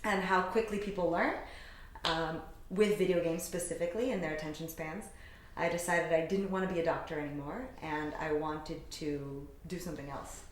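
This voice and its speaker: A woman's voice: sits low, fairly even intonation, normal speed, a little hiss or hum. A young adult woman from the United States, a guest chatting with enthusiasm in a casual interview. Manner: upbeat, enthusiastic. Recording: echoey room.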